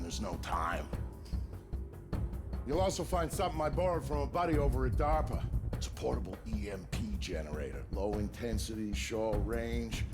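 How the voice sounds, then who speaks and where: A savvy old comrade of a spy in a video game. His low voice moves a lot in pitch, with a wry, plain-spoken delivery and music underneath. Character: savvy, wry middle-aged male ex-military buddy and fixer. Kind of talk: video game dialogue and narration.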